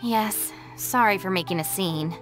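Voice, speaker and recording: A woman's voice: mid-range voice, a little slow, faint music behind, close to an everyday voice. An actress playing a stern, exasperated young woman who keeps a rowdy gang in line in a video game. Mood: composed. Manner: scolding, no-nonsense. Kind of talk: English video game dub acting.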